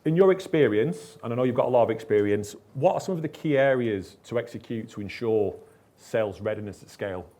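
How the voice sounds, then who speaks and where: A man's voice: medium pitch, lively intonation, brisk, warm tone. A man moderating a conference panel, addressing the audience directly in an upbeat way.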